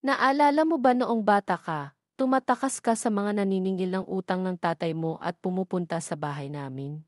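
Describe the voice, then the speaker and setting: A woman's voice: medium pitch, normal speed, darkish tone, no room sound, studio quality, lightly acted. A woman with a Filipino accent voicing a young, protective and boastful man in an audio drama romance. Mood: tender. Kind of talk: scripted audio drama dialogue.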